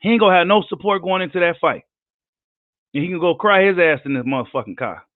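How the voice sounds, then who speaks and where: Hoarse man ranting hotly. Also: sits high, animated, fast talker, dry recording, soft background music. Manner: heated, profane, combative ranting. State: hoarse, losing his voice. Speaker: boxing commentator. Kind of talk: live rant vlog. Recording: tinny phone-like line, dry.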